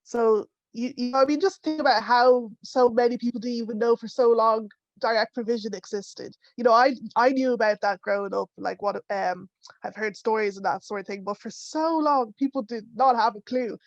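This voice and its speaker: A woman's voice: on the high side, normal speed, dry recording. A young woman speaking as a panelist in an online discussion, in a chatty way with many "you know"s. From Ireland. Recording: dull, dry home audio.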